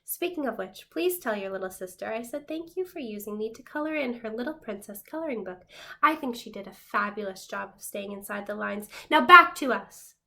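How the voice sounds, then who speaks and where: A woman's voice: a little high, vocal fry, bright, forceful tone. A woman voicing a sassy, neglected pink crayon from a storybook, blunt and reproachful.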